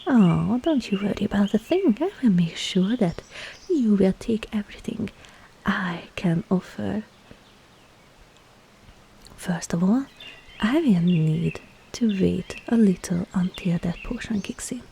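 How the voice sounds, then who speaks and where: A woman playing a scheming, seductive witch in a whispered ASMR roleplay, breathy and teasing, with falsely soothing reassurance. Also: mid-range voice, lively intonation, a little slow, dark tone, slight background noise.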